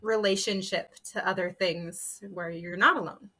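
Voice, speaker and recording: Bright-voiced woman panelist. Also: fairly low pitch, steady tone, unhurried. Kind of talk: casual panel discussion.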